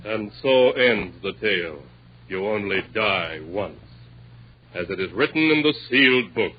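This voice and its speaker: A man's voice: on the low side, lively intonation, measured pace, slightly rough. Ominous male radio host, muffled vintage sound. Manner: ominous, grandiose. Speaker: host narrator of a horror anthology. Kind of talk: theatrical 1940s radio narration.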